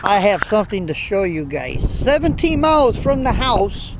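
A man's voice: sits high, big pitch swings, normal speed. A middle-aged trucker chatting to his viewers in a loose, folksy ramble as he moves around his home and truck. The handheld camera picks up plenty of noise behind his voice. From Ohio, United States. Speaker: trucker vlogger. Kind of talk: casual vlog talk to camera. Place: farmhouse kitchen, driveway and truck cab in cold weather. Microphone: handheld action camera. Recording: noisy handheld camera audio.

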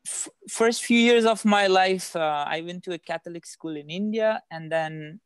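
A man from Afghanistan answering questions about his background in a group video call, speaking in a measured, careful way. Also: sits high, clear, resonant tone, no echo. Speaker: participant.

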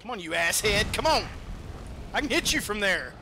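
A man giving casual let's-play game commentary in an excitable, foul-mouthed manner. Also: sits high, normal speed, background noise. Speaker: gamer playing and commentating.

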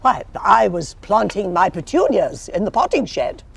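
Older English lady suspect, genteel and theatrical, husky with wildly swooping pitch. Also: big pitch swings, normal speed, no echo, full character voice. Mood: innocent. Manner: fluttery, theatrical.

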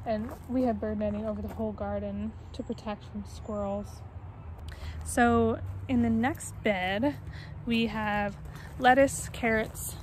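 A woman's voice: on the high side, darkish tone, slight background noise, poor audio. A woman in her twenties with an American accent, narrating a casual, unscripted tour outdoors into a handheld camera. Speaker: vlogger giving a garden tour. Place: outdoors in a backyard garden. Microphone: handheld phone or camera at arm's length.

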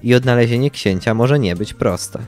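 A man's voice: mid-range voice, moderate pace, a little mellow, faint music behind. A young man hosting a podcast, giving a scripted book review. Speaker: podcast host.

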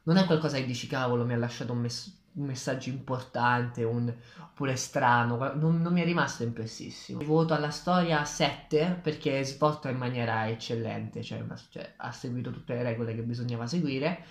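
A man's voice: medium pitch, fairly quick. Male co-reviewer, twenties, casual conversational opinion, reverberant small kitchen, camera across the table. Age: 20s. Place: small tiled kitchen, indoors. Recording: echoey tiled kitchen.

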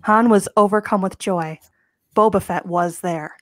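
A woman narrates a comic script, reading out the stage directions. Her voice sits at a middle pitch and is recorded dry, with no room sound. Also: a little slow, dry recording. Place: home, video call.

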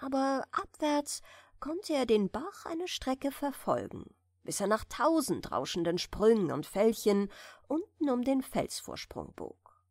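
A woman gently narrating a bedtime fairy tale in a soft, soothing manner. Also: a little high, very animated, measured pace, no echo, studio quality. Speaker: audiobook reader.

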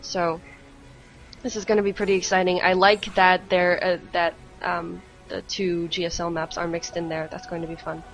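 A woman co-hosting a casual live esports stream, speaking in a somewhat hesitant, halting way. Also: on the low side, level tone, low-quality recording. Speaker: esports co-caster. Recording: music playing under the voice. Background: music.

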